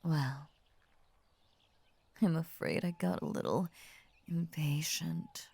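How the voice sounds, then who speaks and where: Breathy, whispering lamia woman, acted out by a woman. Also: deep voice, a little mellow, no echo, exaggerated voice. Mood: adoring. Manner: slow, soothing, coaxing, formally worded. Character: possessive, tender female lamia, half snake, doting and unnerving. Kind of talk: intimate ASMR roleplay.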